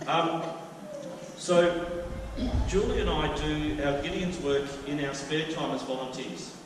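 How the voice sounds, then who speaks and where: A middle-aged man, a guest speaker telling stories to a church in a loud, chatty way. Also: fairly high pitch, slow pace. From Australia. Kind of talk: church talk. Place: church hall. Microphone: handheld mic. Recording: echoey hall from far off, with noise.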